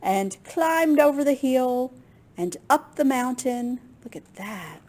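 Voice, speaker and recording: A woman reads a picture book to children as a storytime host. Her voice is fairly high and very lively in pitch, and she takes her time, adding little asides for the listeners. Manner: expressive, unhurried, with small asides to listeners. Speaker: children's storytime reader at a library. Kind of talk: expressive picture book read-aloud for children.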